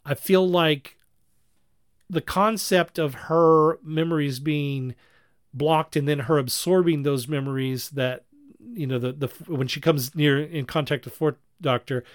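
A man's voice: a little high, fairly even intonation, unhurried, dry recording, studio quality. Talkative man in casual critical discussion. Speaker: podcast panelist reviewing fan productions.

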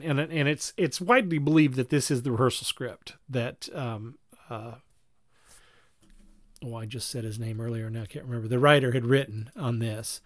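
A man's voice: mid-range voice, no echo. Guy hosting a podcast, chatty n rambly, keeps correcting himself, close dry sound. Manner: halting, rambling, self-correcting explanations. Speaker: podcast host. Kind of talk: casual podcast discussion.

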